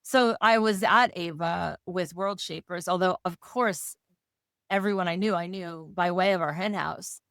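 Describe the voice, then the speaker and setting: A woman co-hosting a podcast in a casual, playful way, slightly hoarse and given to jokes and lists. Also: on the low side, measured pace, a bit bright, dry recording, studio quality. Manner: playful, jokey, talks in lists. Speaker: podcast co-host. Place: Rochester area.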